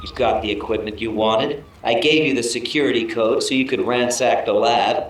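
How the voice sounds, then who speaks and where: A man's voice: mid-range voice, moderate pace, a little hiss or hum, close to an everyday voice, poor audio. Smug, arrogant executive villain played by a man. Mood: arrogant, curt. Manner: suave, haughty. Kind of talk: audio drama acting.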